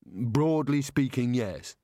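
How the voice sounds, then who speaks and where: A man's voice: medium pitch, expressive, slow, mellow, no room sound, studio quality. A man voicing a cowardly male wizard who protests in a nervous, aggrieved way, in an audiobook fantasy. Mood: hesitant.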